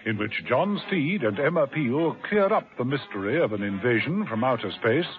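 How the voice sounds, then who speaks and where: Lively male radio drama narrator. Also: medium pitch. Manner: dramatic, measured storytelling. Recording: tinny old radio broadcast with music.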